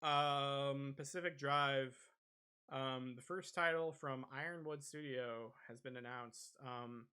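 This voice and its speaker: A man's voice: mid-range voice, slow, bright, forceful tone, clean tone. A man hosting a podcast, talking casually and a little hesitantly through gaming news. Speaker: podcast host.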